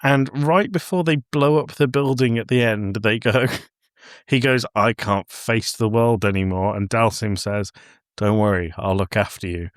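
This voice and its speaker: A man's voice: on the low side, moderate pace, no echo. Man chatting on a dry podcast recording. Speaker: podcast host. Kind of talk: casual film discussion.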